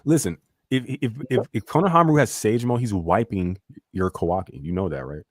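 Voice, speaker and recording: A man's voice: low-pitched, a little fast, no room sound, clean studio sound. A man in a casual anime debate on a podcast, arguing his case emphatically with slang. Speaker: podcast panelist.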